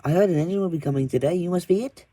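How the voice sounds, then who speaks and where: Guy putting on a high little chatty diesel engine voice, eager, rattles along, dark tone. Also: rapid-fire, dry recording. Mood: eager chatter. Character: small chatty young male diesel shunter.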